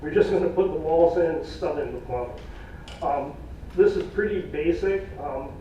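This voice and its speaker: A man presenting cost estimates at a public meeting in a plain, folksy way, losing his voice, with halting fillers. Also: a little high, background noise. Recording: echoey room from far off, dull.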